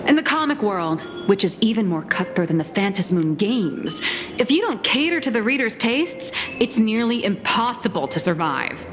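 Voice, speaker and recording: A woman playing an earnest, wry comic artist in an anime-style video game, explaining things in a measured, slightly theatrical way. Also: mid-range voice, sing-song, close to an everyday voice. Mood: matter-of-fact. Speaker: comic artist presenting her work. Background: music.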